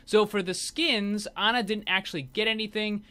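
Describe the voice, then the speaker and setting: Male gaming host, fairly high and very bright tone, informal explanatory commentary. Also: steady tone, moderate pace, clean tone. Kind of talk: casual video game showcase commentary.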